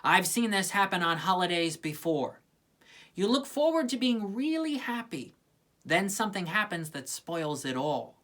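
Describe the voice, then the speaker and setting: A woman's voice: fairly low pitch, big pitch swings, a little slow, fairly bright tone. A woman voicing a frank, world-weary, tomboyish cartoon girl in a plain-spoken way. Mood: disappointed.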